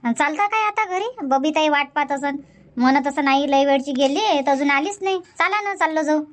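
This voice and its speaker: A woman voicing a bickering, teasing old village grandmother in a cartoon comedy, with a Varhadi Marathi accent and quick nagging comebacks. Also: high-pitched, lightly acted. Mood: teasing, grumbling.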